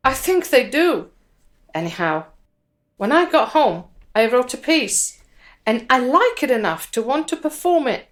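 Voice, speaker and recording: An earnest woman with a fairly high voice, in a radio drama. She is a budding performance poet who speaks slowly and deliberately, weighting her words. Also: very animated. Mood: animated, explanatory. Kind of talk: radio drama acting, with recited poetry.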